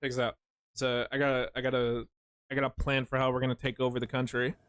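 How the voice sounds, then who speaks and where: A man's voice: medium pitch, flat delivery, fast, a bit bright, clear, resonant tone, soft background music. A man streaming a game, chatting casually with his chat, rambling and joking with lots of swearing. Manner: rambling, profane, jokey storytelling.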